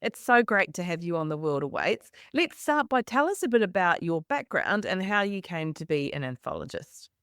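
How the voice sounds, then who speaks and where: A woman's voice: lowish voice, big pitch swings, normal speed, hardly any pauses, a bit bright, clean tone, no echo, studio quality. A woman hosting a travel podcast, friendly and conversational. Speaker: podcast host.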